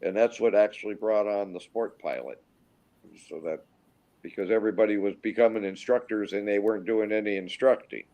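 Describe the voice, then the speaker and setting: A man in his sixties from Minnesota, a guest pilot telling stories in a rambling, halting way with many restarts. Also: fairly low pitch, warm tone. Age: 60s. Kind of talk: casual storytelling interview.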